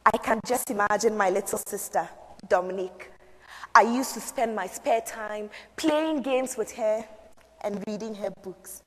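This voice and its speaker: A woman playing an eager, proud and wistful young Rwandan woman survivor in a theatrical solo stage piece, with expressive pauses. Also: mid-range voice, noisy background, lightly acted. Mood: nostalgic. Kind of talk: solo dramatic interpretation on stage. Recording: echoey hall.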